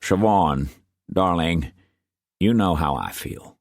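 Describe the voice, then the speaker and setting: A man's voice: sits low, animated, slow, no echo, studio quality, exaggerated voice. A man voicing an earnest, anxious young suitor who speaks sincerely. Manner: earnest, pleading.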